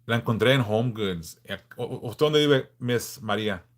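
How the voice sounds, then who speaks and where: A man in his forties from Southern California, hosting a casual livestream and answering viewers in a frank, laid-back way with halting restarts. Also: mid-range voice. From Los Angeles, California. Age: 40s. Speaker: livestream host.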